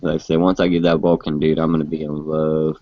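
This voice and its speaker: A man's voice: very deep voice, level tone, quick pace, dry recording. A man in his late twenties chatting casually about motorcycles in a rambling, laid-back way with frequent restarts. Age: 20s. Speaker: host. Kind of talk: casual chat. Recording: muffled lo-fi live stream audio.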